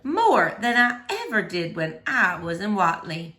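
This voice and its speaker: A woman's voice: fairly low pitch, big pitch swings, measured pace, sharp tone, voice pushed down, full character voice. A woman voicing an earnest, wistful ten-year-old girl who confides plainly and eagerly in a storybook reading. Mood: confiding, wistful.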